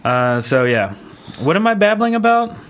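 A man's voice: sits high, big pitch swings, normal speed. A man hosting a podcast in a casual, conversational style. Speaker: podcast host. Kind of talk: casual chat.

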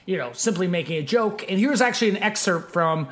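A man's voice: high voice, animated, fairly quick, a bit bright. A man hosting a casual podcast chat, meandering with many fillers. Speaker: podcast host.

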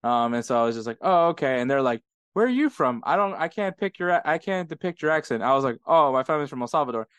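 American man, podcast co-host, animated storyteller, clear voice, dry room. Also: medium pitch, fast, no room sound. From United States. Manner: laughing often, animated storytelling. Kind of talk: casual chat.

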